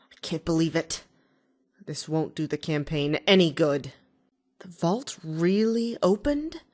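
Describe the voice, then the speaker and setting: A woman's voice: on the low side, dry recording, lightly acted. Woman acting self-assured scheming politician, dry close sound. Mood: dismayed, bitter. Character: ambitious, scheming female politician running for mayor. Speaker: video game voice actor. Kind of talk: video game dialogue.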